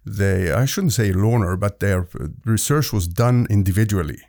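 A low, gravelly man from Egypt explains things patiently, pausing often with "uh" as he thinks. His tone is mellow and warm. The recording is dry, with no room echo. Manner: thoughtful, explanatory, with frequent "uh" pauses. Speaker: physics and chemistry professor, guest. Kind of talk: conversational podcast interview.

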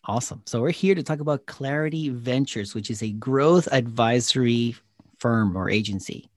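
A man's voice: medium pitch, normal speed, no echo. A man hosting a podcast, reading a scripted intro and then interviewing a guest. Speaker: podcast host.

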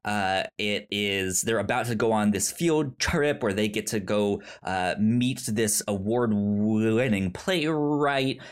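A podcast co-host in casual film discussion, speaking haltingly and often stammering on repeated syllables. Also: medium pitch, clean tone.

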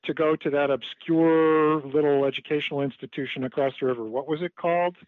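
A man's voice: fairly high pitch, fairly even intonation, brisk, dry recording. Man asking questions over a tinny line. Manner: friendly, lightly joking questions. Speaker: interviewer. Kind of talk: conversational interview.

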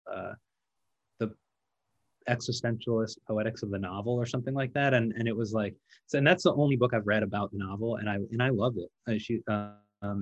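A man's voice: fairly low pitch, highs cut off. A man, a novelist answering interview questions in a modest, rambling way with many fillers.

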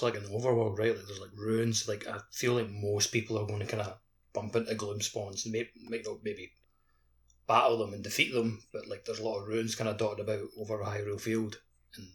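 Male co-host, lowish flat voice, informal rambling game chat with plenty of filler words. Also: fairly quick. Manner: filler-heavy, frequent "like" and "kinda". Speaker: podcast co-host. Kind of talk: casual video game discussion.

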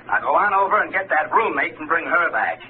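A greedy, pushy boss from a 1940s radio detective show. His voice sits fairly high, impatient and hectoring. It comes through a thin vintage radio recording with light noise. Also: sing-song, phone-quality sound. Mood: scheming, bossy. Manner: impatient, bossy, fast-talking badgering.

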